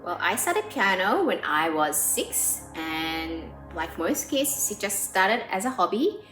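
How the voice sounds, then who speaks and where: A woman in her thirties from South Korea, a pianist speaking earnestly in a documentary interview, pausing with frequent ums. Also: mid-range voice, sing-song. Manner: earnest and warm, with frequent "um" pauses. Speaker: pianist and composer. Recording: roomy sound with faint music behind.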